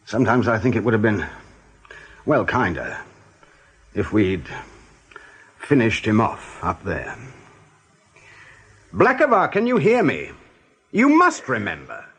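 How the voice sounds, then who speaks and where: An actor playing a brisk rabbit captain in a radio play, commanding, mockingly jovial and husky. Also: male voice, lowish voice, huge pitch swings, fairly bright tone, exaggerated voice. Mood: stern. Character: brisk male rabbit captain, genial yet menacing officer. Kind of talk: radio drama acting.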